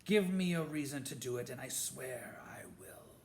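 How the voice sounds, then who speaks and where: A man's voice: medium pitch, animated, moderate pace, darkish tone, a little hiss or hum, lightly acted. A man voicing a cold, spiteful male wizard professor who talks in a silky, sneering way. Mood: menacing. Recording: roomy echo.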